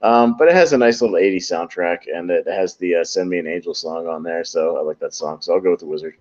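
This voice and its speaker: Man, deep pitch, casual panelist, blunt talk, lo-fi dull sound. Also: fast, a little mellow. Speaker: panelist on a movie tournament show. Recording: dull, lo-fi audio.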